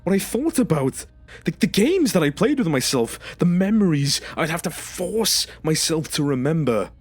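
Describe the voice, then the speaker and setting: A man's voice: a little high, big pitch swings, moderate pace, breathy edge, dry recording. A man playing a traumatised, confrontational former stasis patient in an audio drama, speaking emphatically and demanding accountability. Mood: bitter, accusing.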